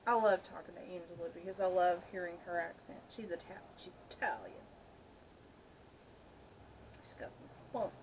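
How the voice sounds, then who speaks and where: A woman's voice: fairly low pitch, fairly even intonation, moderate pace, pauses often. Woman just chatting and joking around, kinda crude, noticeable noise on the home recording. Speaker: co-host of amateur comedy video. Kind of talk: casual chat.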